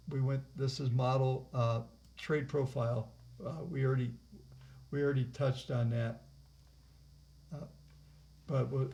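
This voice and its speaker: A man hosting and leading a live software training webinar over a video call, rambling with many fillers and restarts. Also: mid-range voice. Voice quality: gravelly. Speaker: host and trainer. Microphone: computer mic on a video call.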